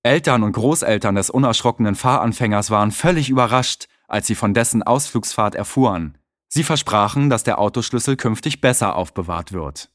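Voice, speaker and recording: Low, dry-voiced man reading news. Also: no echo. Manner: measured, clear read-aloud delivery. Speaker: reader of exam listening texts. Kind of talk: scripted news and radio-host reading.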